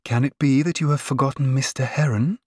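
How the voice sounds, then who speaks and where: Man as a prim, reproving young lady, lifted pitch. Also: a little fast, a little mellow, breathy edge, dry recording, clean studio sound, lightly acted. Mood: reproachful. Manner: intoning, reproachful. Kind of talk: dramatised period novel narration.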